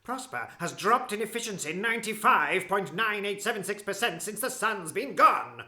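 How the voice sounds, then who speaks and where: A woman's voice: mid-range voice, very animated, moderate pace, pushed and bright. A woman playing a stern, officious town Speaker in a family audio drama, with a commanding, precise manner. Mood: stern, demanding. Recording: echoey room.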